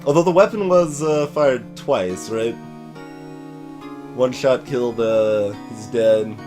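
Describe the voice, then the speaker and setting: A man's voice: mid-range voice, slow pace, a little mellow, faint music behind. A man giving casual gameplay commentary in a hesitant, stammering, rambling way. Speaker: let's player.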